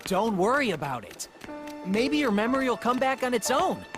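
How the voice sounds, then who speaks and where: A man's voice: very high voice, very animated, moderate pace, over music. A man voicing a cocky, easygoing young hedgehog hero with a breezy manner in a video game cutscene. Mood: friendly, easygoing. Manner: breezy, cool, youthful.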